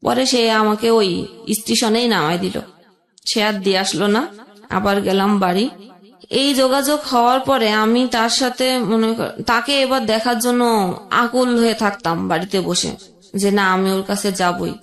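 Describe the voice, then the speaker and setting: A young woman telling an emotional personal story as a guest, halting, with pauses and restarts. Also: on the high side, fairly even intonation, roomy sound.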